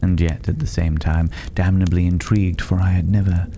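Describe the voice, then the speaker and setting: A man playing a mysterious, courteous stranger in an intimate fantasy ASMR roleplay, speaking softly and pensively in formal, old-fashioned phrases, sometimes near a whisper. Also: low voice, little pitch movement, normal speed, a little hiss or hum. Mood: reflective, intrigued. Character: mysterious, courteous male stranger living in a dragon's cave.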